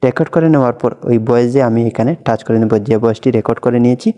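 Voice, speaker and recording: A young man talking quickly through a phone app tutorial, narrating every tap and repeating each step. His voice is mellow and dark, sitting at an ordinary pitch. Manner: steady step-by-step instructions, much repetition. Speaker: tutorial host. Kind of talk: screen-recorded app tutorial.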